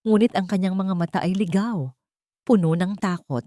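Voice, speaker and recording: A woman narrating a scripted first-person drama in a measured, theatrical way. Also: medium pitch, mellow, no room sound, studio quality.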